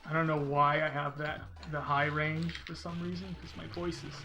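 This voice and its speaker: A man's voice: on the high side, flat delivery, moderate pace, bright, forceful tone, soft background music. A man streaming a video game, chatting casually with viewers and thinking aloud in a rambling, unsure way. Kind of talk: casual live-stream chat while playing.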